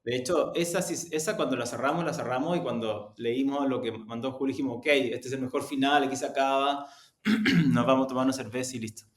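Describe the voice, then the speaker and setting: A man's voice: medium pitch, steady tone, brisk. A man with a Chilean accent answering interview questions reflectively, often rephrasing and qualifying what he says. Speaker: interview guest, podcast production executive. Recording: roomy, echoey sound.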